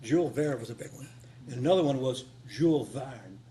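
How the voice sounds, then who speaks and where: A man's voice: medium pitch, expressive, brisk, mellow. A man giving an informal, off-the-cuff conference talk in a folksy way, repeating phrases for emphasis. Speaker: conference speaker, writer of radio dramas. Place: meeting room, live before a small group. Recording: live talk with clear hum and noise.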